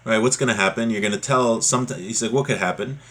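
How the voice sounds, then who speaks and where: A man's voice: on the low side, quick pace, a bit bright. A man giving an informal religious lesson as a teacher, explaining in a conversational way. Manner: conversational, explanatory, checks in with "right?". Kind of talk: informal religious lesson, reading a text aloud then explaining it.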